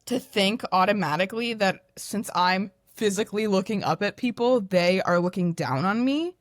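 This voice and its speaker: A young woman who is a podcast guest, talking in a loud, animated, self-deprecating way. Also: mid-range voice, moderate pace, no room sound, clean studio sound. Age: young adult. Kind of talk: candid podcast conversation.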